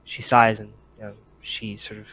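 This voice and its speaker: A man narrating a tabletop game as game master, halting as he thinks aloud. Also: lowish voice, little pitch movement, pushed and bright, slightly rough, low-quality recording.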